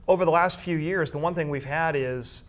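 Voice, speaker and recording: A man giving measured, detailed explanations as an interviewee who works in school sports information and broadcasting. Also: on the high side, a bit bright.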